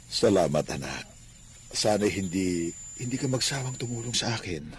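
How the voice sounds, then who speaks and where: An actor playing a kindly, devout middle-aged Filipino father and landlord in a radio drama, speaking gently and earnestly with small hesitations. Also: fairly low pitch, expressive, normal speed, a little hiss or hum, lightly acted. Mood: tender.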